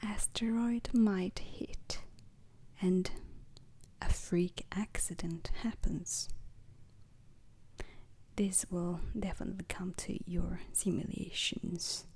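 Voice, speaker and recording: A woman giving a whispered ASMR roleplay lecture as a calm, methodical space-mission instructor, breathy and crackly, pausing deliberately between short phrases. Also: on the low side.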